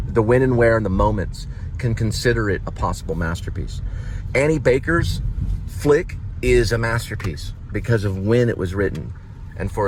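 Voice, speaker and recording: A man's voice: on the low side, a little hiss or hum. A man from the United States giving a rambling, informal vlog monologue in a conversational way, often checking in with the listener. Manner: conversational, checks in with "right?" and "you know". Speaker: vlogger, playwright and actor.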